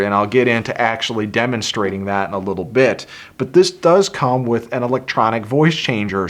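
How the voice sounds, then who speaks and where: Male host, medium pitch, casual product-review delivery, easygoing and explanatory. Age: adult. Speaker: video host reviewing a toy helmet.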